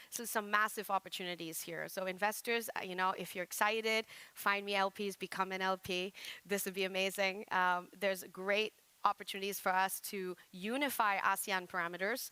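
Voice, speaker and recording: A middle-aged woman from Malaysia giving a conference presentation, talking fast with lots of jargon, fillers and restarts. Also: mid-range voice, sharp tone, clear, resonant tone, dry recording. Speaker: conference speaker.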